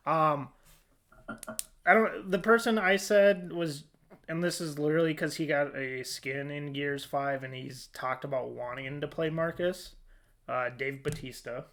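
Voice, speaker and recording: A man's voice: fairly high pitch, fairly even intonation, a little slow, fairly bright tone. A man hosting a casual podcast discussion, talking informally and a little hesitantly as he thinks aloud. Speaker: podcast host leading a discussion. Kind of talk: casual chat.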